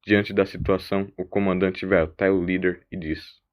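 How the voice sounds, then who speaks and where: A man's voice: sits low, flat delivery, moderate pace, muffled recording. A man narrating a scripted science-fiction audio drama as its storyteller.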